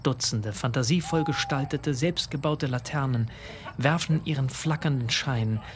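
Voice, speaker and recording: A man's voice: mid-range voice, a little slow, noisy background, close to an everyday voice. A man playing the observant, atmospheric storyteller of a radio drama, reading scripted narration in short scenes. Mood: evocative.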